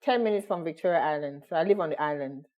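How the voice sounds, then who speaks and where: A woman guest coach chatting in a friendly, relaxed way during an online interview. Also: low-pitched, quick pace, noisy background. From United Kingdom. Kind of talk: casual interview chat. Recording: dull lo-fi call audio.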